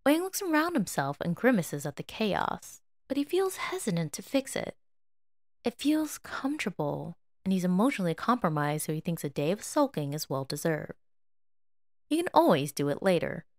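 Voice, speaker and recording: A woman reads a story aloud as its narrator. Her voice sits a little low yet moves with lively intonation, in a close, dry recording. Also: moderate pace, no echo. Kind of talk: expressive scripted story narration.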